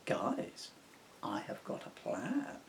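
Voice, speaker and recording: A man voicing a kind, quick-thinking young girl in a children's storybook, with a caring, encouraging manner. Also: slight background noise, lightly acted. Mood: excited.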